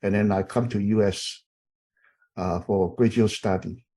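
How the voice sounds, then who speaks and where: A man's voice: fairly low pitch, steady tone, a little slow, warm tone. A middle-aged man from Taiwan, teaching a university class over a video call with many fillers and repeated okays. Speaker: university instructor. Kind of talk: online class, informal chatting.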